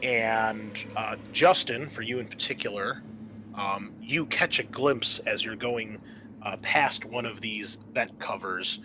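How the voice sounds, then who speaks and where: Bright-voiced man running a tabletop game. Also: lowish voice, slow pace, slight background noise. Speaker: game master. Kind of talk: narrating a tabletop horror game.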